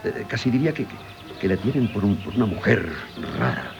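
Genial doctor, animated low voice. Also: male voice, brisk, creaky, background noise. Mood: concerned, confiding. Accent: Castilian Spanish. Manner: hesitant, many filler sounds. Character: genial, hesitant middle-aged country doctor and father. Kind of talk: 1970s Spanish radio drama acting.

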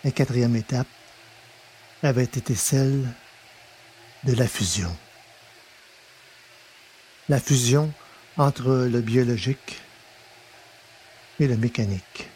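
A man narrating a scripted story in a measured, dramatic manner, with pauses for effect. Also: mid-range voice, dark tone, slight background noise. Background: music.

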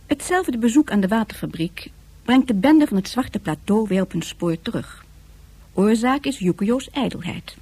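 A woman's voice: on the high side, animated, moderate pace, darkish tone, slightly rough, no room sound. A woman narrating a scripted science fiction radio drama.

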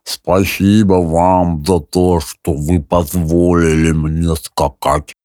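A man voicing an animated turnip-headed scarecrow in an audiobook, speaking in a halting, solemn, grateful way. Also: deep voice, no echo, clean studio sound, pitched below the performer's own voice.

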